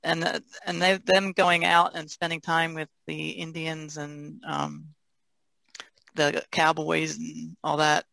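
Gravelly woman in casual, even-toned talk. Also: sits low, slow, no echo, rough recording. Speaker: artist, podcast guest. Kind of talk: casual discussion.

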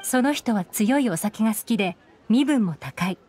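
A woman's voice: medium pitch, lively intonation, normal speed, darkish tone, lightly acted. A woman playing a composed, shrewd young intelligence chief who speaks with authority in an anime game. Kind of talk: Japanese anime game voice acting. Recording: game music behind.

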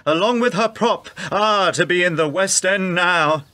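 An actor playing a pompous, theatrical upper-class husband and war veteran in a 1920s radio play. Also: male voice, high-pitched, measured pace, pushed and bright. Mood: haughty.

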